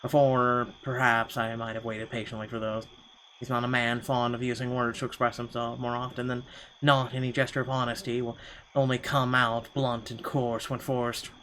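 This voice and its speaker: A man's voice: mid-range voice, little pitch movement, moderate pace, a bit bright, a little hiss or hum, poor audio. A man reading first-person narration from a game aloud in a dramatic storytelling style. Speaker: gaming channel host reading a visual novel aloud.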